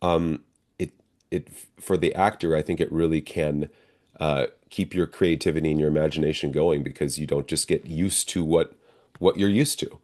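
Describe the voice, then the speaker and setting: Middle aged guy w a really low voice, actor talking thru his craft in an interview. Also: steady tone, normal speed, mellow. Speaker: stage and screen actor, interview guest. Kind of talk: reflective, conversational interview answers.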